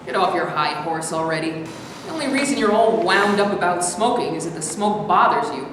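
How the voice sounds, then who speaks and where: A woman's voice: fairly low pitch, lively intonation, brisk, lightly acted. A woman acting in a staged play reading as a scornful alter ego, blunt, sarcastic and belittling. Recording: echoey hall with background noise.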